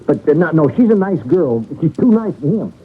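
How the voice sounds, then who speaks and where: A resentful, chattering small-time crook in old radio drama. The voice is very raspy and fairly high, jumping around in pitch. He sounds worked up and slangy. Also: very animated, fairly quick. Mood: indignant, tattling. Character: agitated, resentful male crook, slangy and talkative. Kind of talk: 1970s radio mystery acting. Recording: thin, phone-like vintage radio broadcast.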